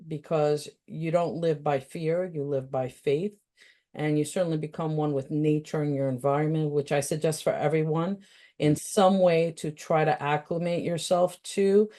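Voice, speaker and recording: A woman with a New York accent hosting an interview, talking casually with many fillers and pauses. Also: deep voice, mellow. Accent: New York City. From New York City. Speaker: interview host.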